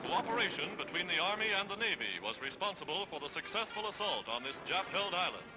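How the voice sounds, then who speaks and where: High-pitched 1940s American newsreel narrator, male. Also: big pitch swings, fast, music in the background, low-quality recording. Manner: declamatory, formal, emphatic. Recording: vintage 1940s newsreel soundtrack.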